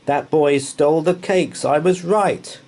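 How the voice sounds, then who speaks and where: A man voicing an indignant knight in a children's storybook, bellowing with outrage and quick to accuse. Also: a little high, darkish tone, voice pushed up. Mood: accusing.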